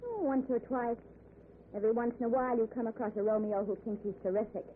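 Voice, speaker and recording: A woman's voice: fairly high pitch, steady tone, quick pace, close to an everyday voice. An American actress playing a worldly, guarded young woman hitchhiking, with a wry, casual manner, in a 1940s-style radio drama. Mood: wry, relaxed. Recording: tinny, noisy old radio broadcast recording.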